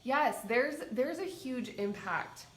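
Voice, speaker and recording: A woman with a stuffy head cold who hosts as a psychic and talks in a gentle, soothing way. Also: high voice, expressive, slow pace, reverberant. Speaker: psychic and meditation host. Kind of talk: casual livestream talk.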